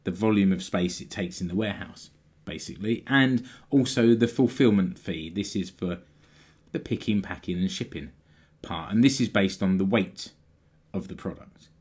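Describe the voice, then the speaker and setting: Slightly hoarse man hosting a business podcast. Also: low-pitched, normal speed, a little mellow. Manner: explanatory, plain-spoken, occasional restarts. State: slightly hoarse from a sore throat. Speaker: podcast host.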